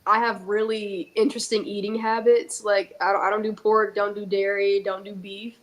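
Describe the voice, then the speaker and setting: A young woman talking with a blocked, stuffy nose, chatty and joking, full of casual fillers. Her pitch sits mid-range for a woman. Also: level tone, normal speed. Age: young adult. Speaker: guest, actress. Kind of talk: casual chat.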